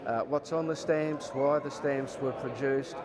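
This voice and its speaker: Flat-voiced man over crowd chatter. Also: mid-range voice, a little slow. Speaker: interviewee. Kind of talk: news interview.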